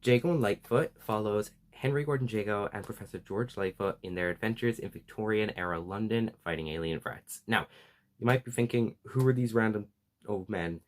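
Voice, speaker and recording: Enthusiastic low-voiced man reviewing for viewers. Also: fairly quick. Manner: enthusiastic, emphatic, gushing. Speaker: host of a fan recommendation video series.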